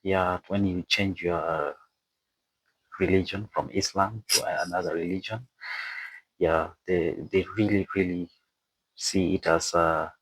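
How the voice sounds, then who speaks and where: Deep-voiced man, halting non-native explainer. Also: fairly even intonation, a bit bright, breathy edge, dry recording, low-quality recording. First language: not English. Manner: halting, stumbling over words and repeating phrases. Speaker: video presenter and narrator. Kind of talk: explainer narration read from a script with asides.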